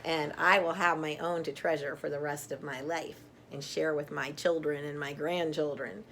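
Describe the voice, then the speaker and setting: A middle-aged woman reading a picture book aloud to children, gently and deliberately, with chatty asides. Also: low-pitched, fairly even intonation, a little hiss or hum.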